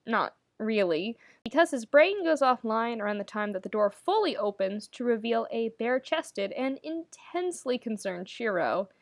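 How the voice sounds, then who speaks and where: A woman's voice: a little high, very animated, moderate pace, few pauses, no room sound. Woman narrating a story, close and dry. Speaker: podfic narrator reading fan fiction. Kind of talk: scripted story narration with dramatic pauses.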